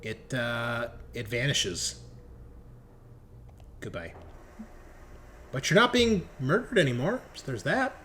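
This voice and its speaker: A man's voice: medium pitch, huge pitch swings, slow pace, pauses often, fairly bright tone. Male game master, informal, jokey, casual rules talk, some background noise. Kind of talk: running a tabletop roleplaying game, casual.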